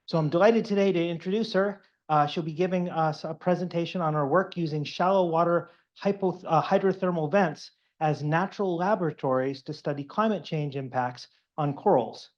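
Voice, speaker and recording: A man hosting a seminar, reading a formal introduction of the guest speaker in a warm, formal manner. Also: fairly high pitch, moderate pace, few pauses. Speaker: faculty host introducing the speaker. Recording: dull lo-fi lecture recording.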